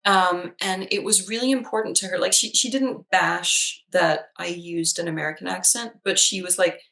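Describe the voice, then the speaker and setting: A woman in her thirties whose first language is Danish talks casually with a bright, forceful edge to her voice. Her pitch sits a little low, and she restarts sentences often, with plenty of fillers. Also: fairly even intonation, moderate pace. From Denmark. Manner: chatty, with many fillers and restarts. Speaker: psychologist. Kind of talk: casual chat.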